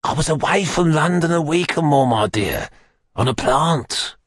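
A wily old gang boss for an audiobook. The voice climbs high and swoops about as he wheedles and pleads, all submissive scheming, in a dry, close recording. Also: lively intonation, a little slow, breathy edge, no room sound. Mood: pleading. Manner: ingratiating, pleading. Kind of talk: audiobook character acting.

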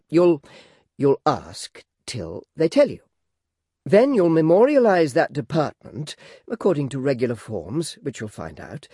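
A man reading an audiobook, voicing a pompous government clerk who rattles off procedures in a brisk, dismissive way. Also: on the high side, mellow, breathy edge, no echo, studio quality, pitched above the performer's own voice. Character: pompous male government clerk, brusque and bureaucratic.

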